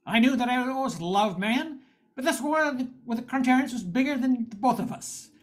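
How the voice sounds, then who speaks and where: A woman's voice: sits high, lively intonation, moderate pace. A woman playing a wounded, wistful noir hero who narrates an amateur space radio play.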